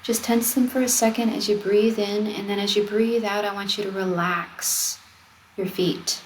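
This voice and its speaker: A woman's voice: mid-range voice, normal speed, rough recording. Woman guiding meditation over wave noise. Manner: gentle, soothing, conversational instruction. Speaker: meditation guide, wellness coach. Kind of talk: guided meditation narration. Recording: steady noise of ocean waves. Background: ocean wave sounds.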